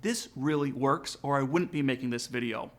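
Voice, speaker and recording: A man's voice: medium pitch, normal speed, a bit bright. A man narrating a scripted but casual tutorial as a vlog host, speaking directly to viewers. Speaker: aviation vlog host, student pilot. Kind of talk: upbeat scripted tutorial narration with sponsor read.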